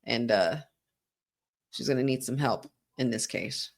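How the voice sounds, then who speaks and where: A woman co-hosting a wrestling watch-along, talking in an excitable, chatty fan style with lots of "like". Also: very deep voice, measured pace, vocal fry. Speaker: podcast co-host. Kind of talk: casual watch-along commentary.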